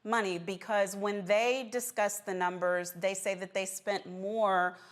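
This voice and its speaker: A woman's voice: medium pitch, steady tone, unhurried. Emphatic American woman hosting a panel. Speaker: panel host. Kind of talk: political panel discussion.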